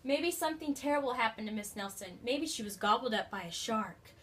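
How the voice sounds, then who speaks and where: Storybook classroom kids: excitable, eager, exclaiming, raised high pitch played by a woman reader. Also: brisk, a little hiss or hum. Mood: eager guessing. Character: excitable young schoolchildren, naughty, whispering and exclaiming.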